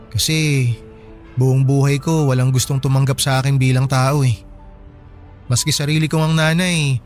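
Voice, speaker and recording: A man's voice: mid-range voice, natural voice. A man with a Filipino accent playing an earnest, wounded young half-brother who works as a porter in a radio drama. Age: young adult. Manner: pleading, gentle. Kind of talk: dramatized radio love story.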